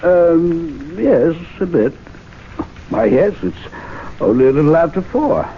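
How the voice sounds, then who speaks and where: An actor playing a pompous, hesitant gentleman club member in a 1970s radio drama. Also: on the high side, expressive, slow pace. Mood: haughty. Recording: dull old radio broadcast, faint hiss.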